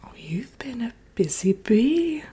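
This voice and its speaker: A woman voicing a vain, sly wicked witch in a breathy, whispered ASMR roleplay, chatting coyly as if with an old friend. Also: on the high side, big pitch swings, mellow, heavily acted. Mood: chatty, coaxing. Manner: theatrical, coyly boastful, doting, slow and murmuring.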